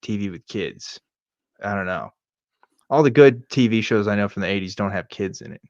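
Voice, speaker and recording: A man's voice: on the low side, moderate pace, darkish tone, no room sound, highs cut off. A middle-aged American man hosting a casual show, reading aloud and riffing with sardonic, profane asides. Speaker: podcast host.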